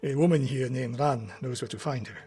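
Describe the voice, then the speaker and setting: An actor playing a proud, regretful older samurai master in a video game, speaking gravely in clipped, defensive phrases. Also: male voice, medium pitch, fairly quick, darkish tone, natural voice. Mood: grave, resolute. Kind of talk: dramatic video game acting. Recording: music behind the voice. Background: music.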